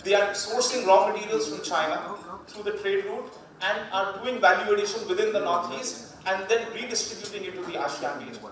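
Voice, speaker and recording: A man with an Indian accent giving a formal speech as a government official, measured and full of "uh" fillers. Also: high voice. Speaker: government official speaking at a festival inauguration. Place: hotel function suite. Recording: echoey hall, distant mic, crowd noise.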